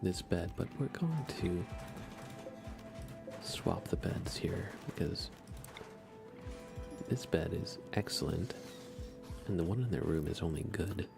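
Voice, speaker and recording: Low, creaky-voiced man, hushed ASMR gamer. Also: expressive, over music. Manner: hushed, unhurried, musing aloud. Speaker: live streamer. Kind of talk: soft ASMR gaming commentary.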